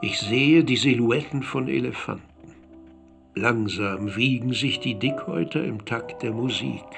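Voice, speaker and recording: A man playing a reflective radio drama narrator who tells his account in a measured, cryptic way. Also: medium pitch, creaky, fairly bright tone, faint music behind. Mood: measured, enigmatic. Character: reflective male narrator recounting evidence and memories. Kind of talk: scripted radio play narration.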